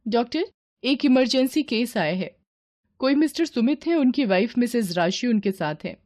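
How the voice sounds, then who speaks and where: A woman acts a brisk hospital nurse in a cartoon drama. Her voice is raised high, and her delivery is formal and urgent. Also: expressive, darkish tone, natural voice. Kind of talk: cartoon story acting.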